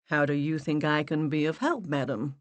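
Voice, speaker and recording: A courteous young man at a front desk, clipped and firm in his refusals, as a woman narrator reads him. The tone sits low and steady, recorded close and dry. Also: moderate pace, dry recording, lightly acted. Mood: polite, firm. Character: polite, firm young male club receptionist.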